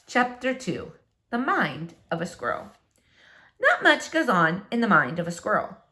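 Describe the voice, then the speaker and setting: A woman narrating a children's storybook aloud with expressive, dramatic, deliberate phrasing. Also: mid-range voice. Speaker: storybook reader.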